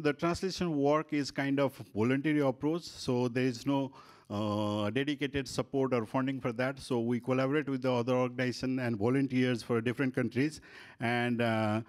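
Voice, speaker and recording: A man's voice: mid-range voice, darkish tone. Nepali guy moderating a panel, handheld mic, lots of uhs and restarts, english not his first language. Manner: halting, frequent fillers and restarts. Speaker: workshop moderator. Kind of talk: conference panel presentation. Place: conference room with panel table. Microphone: handheld microphone.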